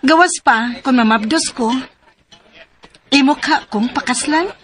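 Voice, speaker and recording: A high-voiced, playful young Cebuano woman. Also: unhurried, a bit bright. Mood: teasing, amused. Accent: Filipino, Cebuano. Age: young adult. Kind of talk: radio drama dialogue.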